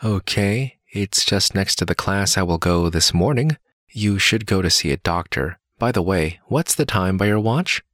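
A man reading lines of a scripted dialogue slowly and carefully, as in a listening test. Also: low voice, expressive, fairly bright tone, no room sound, clean studio sound.

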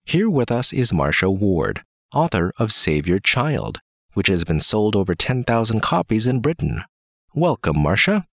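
Man, very low voice, dry close studio sound, careful textbook reading. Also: very animated, a little slow, creaky, fairly bright tone, no echo. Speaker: voice actor in a listening test. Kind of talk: slow, carefully read scripted dialogue.

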